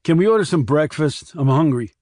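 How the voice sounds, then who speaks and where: A sullen younger man with a bad attitude, put on by a male narrator, snaps back defensively in a raised pitch. It is thriller audiobook dialogue, recorded dry. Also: animated, a little fast, a little mellow, no room sound, close to an everyday voice. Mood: irritable, defiant. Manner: defensive, snapping retorts.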